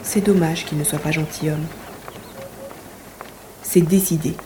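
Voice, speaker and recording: A woman acts out a romantic young lady of good family, speaking formal literary lines in a soft, mellow, lowish voice. It is an audio drama with a roomy echo and faint noise behind. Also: steady tone, measured pace. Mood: musing, smitten. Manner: exalted, formal literary diction. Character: romantic, dreamy young provincial lady, well-born. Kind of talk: audio drama monologue and dialogue.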